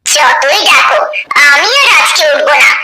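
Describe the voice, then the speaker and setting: A woman's voice: high-pitched, expressive, a little slow. A woman voicing a stern, resentful elder wife and mother in a cartoon, curt and scolding, in a noisy, echoey recording. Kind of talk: cartoon dialogue.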